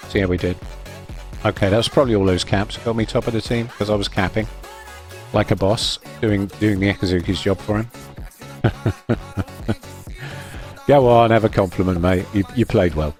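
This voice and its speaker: Low-voiced man narrating gameplay. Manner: chatty, wry and self-deprecating, thinks aloud while playing. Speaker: gaming video host. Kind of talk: live gameplay commentary.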